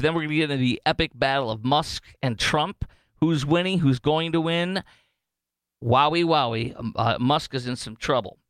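A man filling in as talk radio host, chatting in an animated, sarcastic way with many fillers and restarts. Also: high voice, smooth, no room sound. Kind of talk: casual talk radio commentary.

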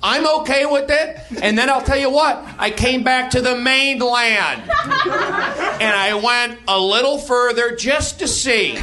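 A man from Los Angeles with an American accent and a nasal voice, rambling and halting as he makes casual comedic confessions. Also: very high voice. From Los Angeles, United States. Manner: rambling, halting, self-interrupting. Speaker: comedian guest and podcaster.

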